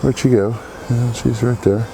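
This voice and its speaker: Man, froggy congested voice, mid pitch, slow outdoor commentary, faint background hiss. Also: steady tone, gravelly. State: congested from a cold. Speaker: beekeeper. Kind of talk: casual hive inspection commentary. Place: outdoor apiary. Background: bees buzzing.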